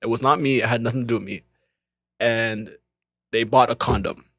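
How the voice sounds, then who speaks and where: A young man, a podcast co-host, telling casual stories with animated voices for the people he quotes. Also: medium pitch, a little fast, husky, dry recording. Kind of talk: casual storytelling.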